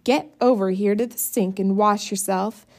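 A woman's voice: medium pitch, fairly even intonation, moderate pace, a little mellow, lightly acted. Woman reading a blunt tired country mother. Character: tired rural mother at the stove.

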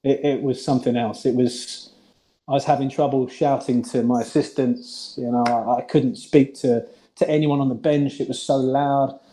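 Mellow-voiced man recalling his coaching days. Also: mid-range voice, fairly even intonation, a little hiss or hum. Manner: earnest, halting with restarts. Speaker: former head coach. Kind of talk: reflective casual chat.

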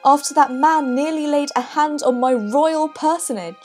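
A woman's voice: high-pitched, normal speed, a little mellow, soft background music, close to an everyday voice. An actress playing a proud, wounded French queen in a period audio drama, with a formal, regal manner. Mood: wounded, indignant.

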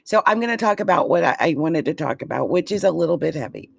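A hoarse speaker chatting casually about astrology, rambling and giggling often. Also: medium pitch, lively intonation, quick pace, no room sound, clean studio sound. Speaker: astrology vlogger.

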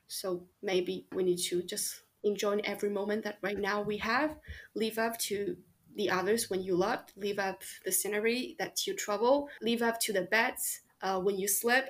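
Earnest young Chinese woman, hesitant. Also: mid-range voice. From China. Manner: earnest, hesitant with many pauses and fillers. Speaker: guest. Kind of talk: interview answers.

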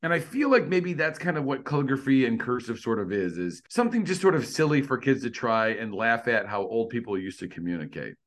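A man's voice: mid-range voice, big pitch swings, fairly quick, few pauses, fairly bright tone. A middle-aged man co-hosting a casual discussion, musing tentatively with lots of fillers. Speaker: podcast co-host.

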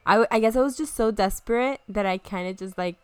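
Young woman guest on a faith podcast, reflective, laughs easily, smooth clear voice. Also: medium pitch, fast, no echo. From Miami, United States. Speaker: podcast guest, school counselor. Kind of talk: casual chat.

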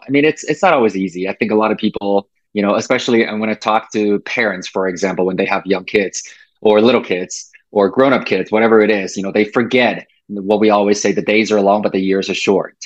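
Low-voiced man on a dull call line. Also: a little fast, no room sound. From Taiwan. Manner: reflective, with frequent fillers and restarts. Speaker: podcast guest. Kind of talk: casual interview talk.

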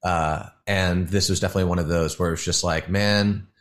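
A man, a musician calling in as a guest, talking in a relaxed, rambling way with many fillers over a call. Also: low voice, moderate pace. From United States. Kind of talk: casual interview over a call. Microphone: phone or video call.

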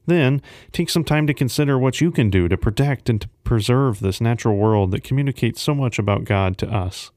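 A man's voice: mid-range voice, expressive, fairly quick, hardly any pauses, a little mellow, dry recording, clean studio sound. A man serving as host of a devotional podcast, reading a scripted announcement.

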